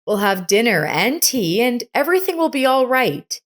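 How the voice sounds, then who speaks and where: A woman's voice: on the high side, big pitch swings, moderate pace, voice pushed up. A woman narrator voicing an older woman in a story, motherly but bossy, plain-spoken and insistent. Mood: kindly, inviting.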